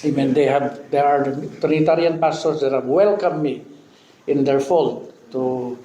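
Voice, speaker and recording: A man's voice: mid-range voice, steady tone, mellow. Man in his fifties with a Filipino accent preaching in an echoey hall. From Philippines. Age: 50s. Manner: studs his talk with amen and hallelujah, halting with fillers. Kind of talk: sermon. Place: church hall. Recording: echoey church hall with faint background noise.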